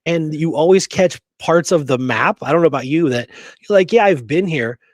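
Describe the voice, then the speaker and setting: A man's voice: a little high, lively intonation, moderate pace, dry recording. Chatty man in casual conversation, dry sound. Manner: chatty and enthusiastic, peppers talk with "dude" and "man". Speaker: co-host of a history talk show. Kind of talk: casual chat.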